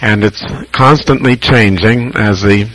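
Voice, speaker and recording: An American man chatting casually as a ham radio operator over shortwave, measured and hesitant, pausing often as he describes things. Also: lowish voice, a bit bright, poor audio.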